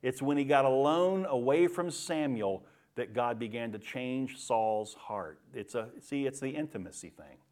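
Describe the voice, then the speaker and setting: A man delivers a sermon as a pastor, teaching through scripture. His delivery is emphatic, with pointed questions and deliberate pauses. His voice sits at a middling pitch. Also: expressive, darkish tone. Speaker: pastor preaching. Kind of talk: sermon, verse-by-verse Bible teaching.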